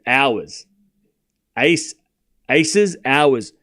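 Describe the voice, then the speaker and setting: A man's voice: medium pitch, expressive, very slow, fairly bright tone. A man with an Australian accent, giving casual live tennis commentary and teasing his chat. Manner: teasing, boastful, talks straight to chat.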